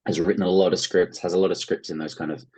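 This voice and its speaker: A man's voice: sits low, level tone, quick pace, mellow, slightly rough. An Australian man speaking casually as an interview guest, rambling and hedging as he goes. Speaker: interview guest, playwright and actor.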